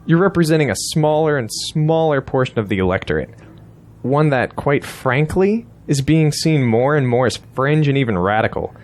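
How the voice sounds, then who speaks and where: A man acting as a male political campaign strategist in an audio drama, with a mid-pitched voice. He is blunt and insistent, lecturing his client as he presses his case. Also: expressive, moderate pace, close to an everyday voice.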